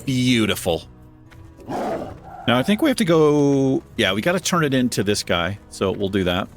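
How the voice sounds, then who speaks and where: A man with a deep voice hosting a gaming channel, chatting casually and self-deprecatingly while he plays. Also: sing-song, a little slow, faint music behind. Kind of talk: casual gameplay commentary. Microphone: desk microphone.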